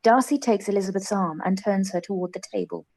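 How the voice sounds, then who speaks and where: A woman's voice: mid-range voice, fast talker, a little mellow. A woman reading scripted stage directions as the narrator of a radio play.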